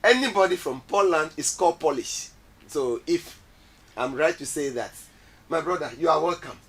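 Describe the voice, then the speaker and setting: A man's voice: fairly high pitch, expressive, moderate pace, fairly bright tone. Man hosting with repeated questions. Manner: welcoming, repeats guest's answers back as questions. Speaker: interviewer and cultural festival host. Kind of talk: casual studio interview. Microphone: studio microphone.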